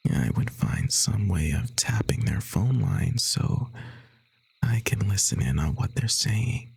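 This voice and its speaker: A man voices an obsessive stalker who speaks in a breathy half-whisper, slow and sweet. The menace is delivered softly and matter-of-factly, as in a comic audio roleplay. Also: fairly low pitch, sing-song, no room sound, full character voice. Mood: calmly menacing. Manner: soft, unhurried, sweetly matter-of-fact about menace.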